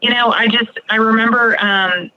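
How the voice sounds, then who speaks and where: A woman's voice: on the high side, fairly even intonation, moderate pace, highs cut off. A woman in her forties from Texas with an American accent, telling her personal story conversationally as a podcast guest. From Texas, United States. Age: 40s.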